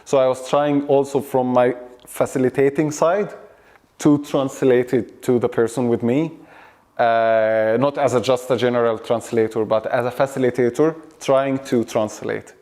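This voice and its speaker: Man in his thirties, relaxed. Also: mid-range voice, moderate pace. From Egypt. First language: Arabic. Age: 30s. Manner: relaxed and friendly, repeats words while thinking. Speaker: actor and drama facilitator. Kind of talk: interview answer.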